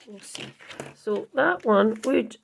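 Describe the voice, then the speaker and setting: A woman hosting her card-making channel, chatting casually through a crafting tutorial with a croaky voice, rambling and correcting herself as she goes. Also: a little high, animated, slow, a little hiss or hum. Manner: rambling, self-correcting, many ums. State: croaky, husky voice.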